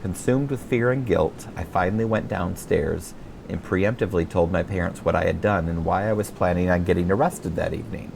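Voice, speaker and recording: A man reading his own memoir as a scripted audiobook, telling it in a wry, expressive storyteller's manner. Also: low voice, brisk, vocal fry, a little hiss or hum, poor audio.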